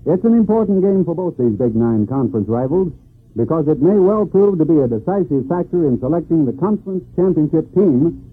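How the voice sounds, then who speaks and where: A man reads a scripted promo in a formal announcer's manner. His voice is husky and a little high. It comes through thin, phone-like old broadcast sound. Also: lively intonation, fairly quick. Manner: formal, booming announcer delivery. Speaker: radio announcer. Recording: tinny old radio broadcast.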